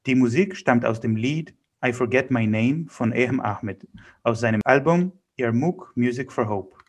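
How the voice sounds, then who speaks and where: Male voice, medium pitch, interview host, introductions and questions. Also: normal speed.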